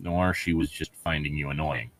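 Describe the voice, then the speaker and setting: A man's voice: a bass voice, normal speed, a bit bright, dry recording. A man reading a novel aloud and mocking it with crude, sarcastic, irreverent commentary. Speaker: co-host of a comedic read-along.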